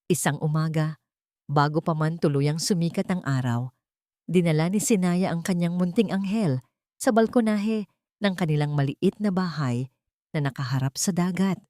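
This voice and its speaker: A woman's voice: lowish voice, normal speed, mellow, dry recording. A woman narrator delivers a scripted dramatic story. The recording is dry and close, with no room sound around her.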